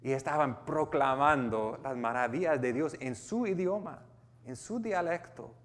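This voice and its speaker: A man's voice: medium pitch, measured pace, big-room sound. A man with an American accent preaching a sermon, reading scripture and explaining it earnestly with frequent restarts. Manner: earnest, with restarts and self-corrections. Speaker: pastor.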